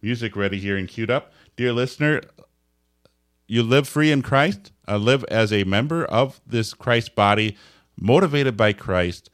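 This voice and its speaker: A man hosting a casual religious podcast, talking in a halting way with many fillers and restarts. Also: mid-range voice. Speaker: podcast host.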